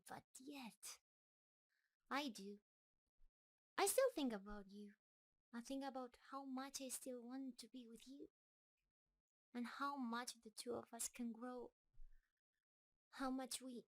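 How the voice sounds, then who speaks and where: A woman acts out a gentle giant spider creature for an intimate roleplay audio. The voice is high and very unhurried, with a nervous, halting tenderness. It is doting and shy, talking softly to one beloved listener. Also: rough recording. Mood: tender, hesitant.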